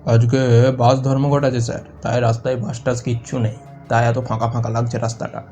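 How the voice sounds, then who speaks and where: An actor playing a polite, plain-spoken, deferential taxi driver in an audio drama. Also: male voice, medium pitch, little pitch movement, fairly quick, warm tone, lightly acted. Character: polite male taxi driver answering passenger. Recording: music under the voice.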